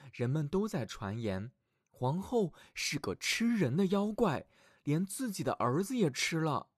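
A man narrating fairy tales for children as a storyteller, reading expressively and dramatically from a script with clear phrasing. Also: mid-range voice, no room sound, studio quality. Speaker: children's storyteller, show host.

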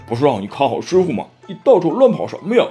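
A man's voice: fairly high pitch, huge pitch swings, fast, dark tone, soft background music, pitched above the performer's own voice. A man doing a boastful, blustering pig demon. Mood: scolding.

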